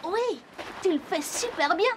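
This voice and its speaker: A woman's voice: extremely high pitch, animated, measured pace, slight background noise. A woman voicing a friendly, proud young animal in a children's cartoon, eagerly explaining things in simple words. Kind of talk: children's educational cartoon dialogue.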